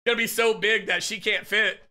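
A man in his thirties streams from home on a headset, shouting excited, profane greetings to his viewers. His voice is high and very bright and forceful, though it is wearing thin as he gets sick. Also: brisk. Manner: loud, excitable, profane shout-outs to chat. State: losing his voice, getting sick. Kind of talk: casual live-stream chat.

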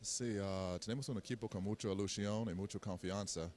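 A man whose first language is English answers in simple, halting Spanish into a handheld mic in a basketball arena. His voice sits slightly low, and he pauses often to find his words. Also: steady tone, a little mellow. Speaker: foreign basketball player. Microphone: handheld mic held by interviewer.

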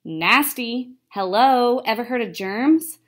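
A woman's voice: fairly high pitch, lively intonation, slow pace. A young woman voicing a cocky, chatty male pet hamster in a storybook, self-satisfied and asking and answering himself. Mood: disgusted.

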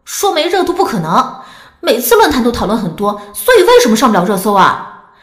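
A woman playing a chatty young fan who posts on a gossip forum, with playful, expressive delivery. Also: fairly high pitch, brisk. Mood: curious, speculative. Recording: echoey room effect with music.

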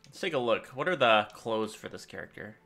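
A man's voice: lowish voice, brisk, soft background music. A young man hosting a gaming channel, thinking aloud in rambling casual commentary while he plays, recorded at a desk in a small room at home. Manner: rambling, thinking aloud, lively swings in loudness.

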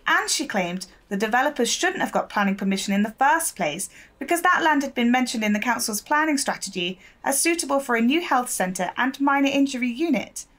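A woman's voice: a little high, lively intonation, fairly quick, few pauses, a bit bright. A woman acting as a diligent young local newspaper reporter in a naturalistic audio drama, polite and inquisitive. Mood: earnest, keen.

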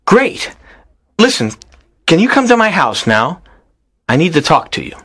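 A man's voice: a little high, big pitch swings, a little slow, sharp tone, slightly rough, dry recording, studio quality, full character voice. A man playing a lonely, earnest teenage schoolboy in a dramatised story, a pleading dreamer. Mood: uneasy, urgent.

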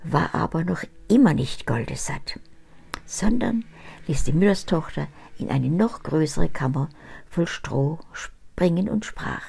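A woman in her seventies reading a fairy tale aloud at home in an expressive, lively way, with a camera set up at table distance. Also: deep voice, unhurried, creaky, slightly rough. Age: older, about 70s. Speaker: storyteller reading fairy tales. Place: cozy home study with bookshelves, indoors.

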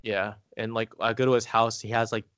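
A man telling family stories casually as a podcast guest, halting and rambling, saying "like" often. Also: on the low side, flat delivery, fairly bright tone, clear, resonant tone, no echo. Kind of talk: casual conversational storytelling.

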